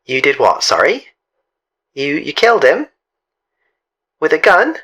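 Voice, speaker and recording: A very bright, piercing male voice, pitched a little high, belonging to a man acting a washed-up ex-doctor who lives on a bench. He rambles haltingly and repeats himself, in the manner of a mock documentary interviewee. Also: wildly swooping pitch, full character voice. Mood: mildly reproachful. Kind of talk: mock documentary interview, comic improvised monologue.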